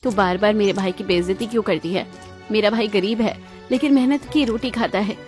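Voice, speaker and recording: A woman voicing a gentle, loyal young sister from a poor family in a cartoon, speaking earnestly and plainly. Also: mid-range voice, a little fast, faint music behind, close to an everyday voice. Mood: indignant. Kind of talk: cartoon moral-story dialogue in Hindi.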